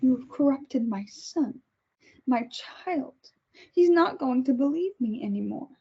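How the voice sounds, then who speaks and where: Woman as defensive villain mother, husky and raised pitch, lo-fi table read. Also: animated, measured pace, heavily acted. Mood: bitter. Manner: cold and defensive. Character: controlling, lying mother woman, middle-aged. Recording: dull lo-fi recording.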